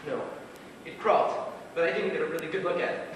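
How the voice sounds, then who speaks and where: A man's voice: on the high side, fast talker, a little hiss or hum, lightly acted. A man acting a curt, irritable grown son in an amateur stage play, blunt and impatient. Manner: blunt, exasperated. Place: small theatre stage, recorded from the audience. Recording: echoey theatre stage from far off.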